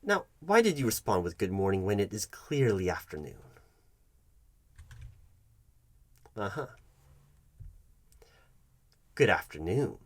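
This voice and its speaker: A man's voice: fairly low pitch, very animated, moderate pace, no echo. A man playing a pompous, bumbling job interviewer in a comedic roleplay, condescending and fussy, stammering and correcting himself. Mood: fussy, officious. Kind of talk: comedic ASMR roleplay addressed to the listener.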